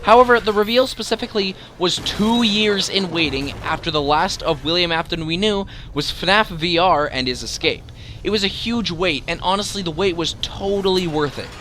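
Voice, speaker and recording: A man's voice: high-pitched, moderate pace, bright, forceful tone, a little hiss or hum. A man hosting a scripted top-ten video with enthusiastic, emphatic commentary. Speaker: video creator and countdown host. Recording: music behind the voice. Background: music.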